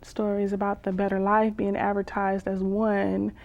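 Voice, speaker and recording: A woman poet and vocalist performing spoken-word poetry with drawn-out phrases, pauses and hums, some lines half sung. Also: medium pitch, completely flat delivery, darkish tone. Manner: slow, drawn-out delivery with long pauses and hums. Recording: dull lo-fi sound.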